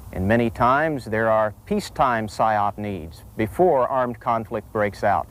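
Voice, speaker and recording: American male, mid-range voice, formal and measured presenter for scripted military instructional narration. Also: mid-range voice, rough recording. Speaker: military training film presenter.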